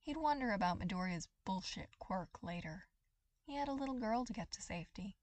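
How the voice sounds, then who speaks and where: A woman reading a story aloud as scripted, expressive dramatic narration. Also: medium pitch, a little fast. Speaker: podfic reader.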